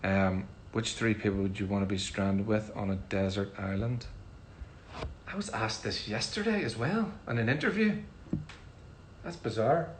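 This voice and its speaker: Chatty Northern Irish man, low voice. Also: moderate pace, slight background noise. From Northern Ireland. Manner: relaxed, chatty, frequent ums. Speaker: musician answering fan questions. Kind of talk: casual livestream Q&A.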